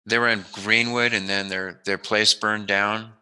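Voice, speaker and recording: Casting: male interview host, lowish voice with a very sharp, forward edge, informal and rambling. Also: flat delivery, unhurried, clear, resonant tone, low-quality recording. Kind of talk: casual chat interview.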